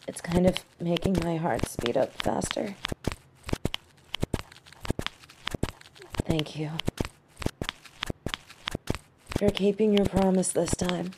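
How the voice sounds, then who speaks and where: A woman's voice: sits low, heavy background noise. A woman acting a caring, brash and loyal young superheroine in a scripted audio roleplay, with a conversational, sassy delivery. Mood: tender, nervous. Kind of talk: scripted one-sided audio roleplay drama.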